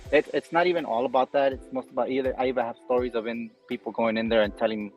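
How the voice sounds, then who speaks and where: A man's voice: medium pitch, fairly even intonation, fast talker, darkish tone, lo-fi sound, soft background music. A man from Guatemala, a podcast guest talking earnestly and openly in casual conversation. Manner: earnest, open about personal struggles.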